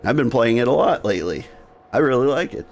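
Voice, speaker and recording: A man hosting a game livestream, switching between jokey, rambling chat and reading game text aloud in a gravelly voice. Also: medium pitch, lively intonation, fairly quick, a little hiss or hum. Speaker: game streamer and host. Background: music.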